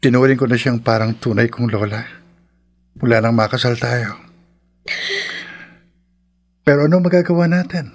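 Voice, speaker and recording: An actor with a Filipino accent playing a caring, practical husband in a horror radio drama. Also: male voice, medium pitch, normal speed, pauses often, close to an everyday voice. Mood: consoling. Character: consoling, practical husband, caring but skeptical.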